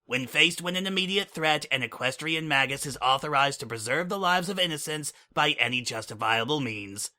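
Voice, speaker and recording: A man's voice: on the high side, animated, moderate pace, hardly any pauses, pushed and bright. Man voicing a smug, flippant mare spy. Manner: flippant, casual and mocking. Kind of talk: fan dramatic audiobook reading.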